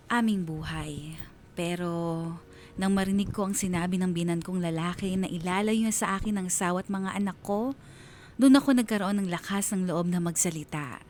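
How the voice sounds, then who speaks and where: A man's voice: high voice, slight background noise, lightly acted. An actor playing a wronged husband, narrating his letter of heartache in the first person for a radio drama, earnest and sorrowful. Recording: music bed behind the voice.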